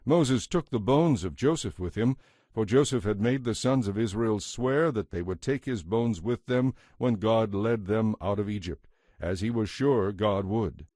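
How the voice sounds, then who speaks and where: Man reading bible narration, deepish voice, solemn and measured, really dry recording. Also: dark tone, no echo.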